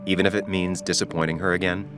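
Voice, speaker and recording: A man playing a rational, protective companion in a video game, measured and admonishing as he probes. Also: deep voice, flat delivery, loud music behind the voice, natural voice. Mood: cautioning, probing. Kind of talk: naturalistic video game acting.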